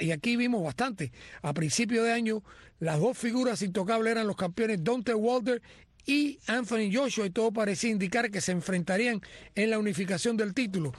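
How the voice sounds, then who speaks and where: A man with a high voice reads a prepared sports review in an earnest, measured way. The sound is dry and close. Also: no echo. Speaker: sports commentator. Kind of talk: scripted sports review read on air.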